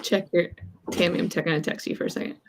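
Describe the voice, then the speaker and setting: Female livestreamer, slightly low voice, informal conversational delivery, faint noise in the background. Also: steady tone, fast. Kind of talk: casual livestream chat.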